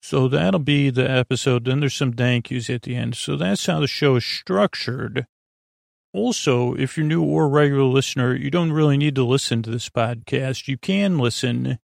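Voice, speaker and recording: A man hosting a bedtime podcast in a meandering, hesitant, reassuring monologue, with a creaky voice. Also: moderate pace, no room sound, studio quality. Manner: low-pressure, reassuring. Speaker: podcast host.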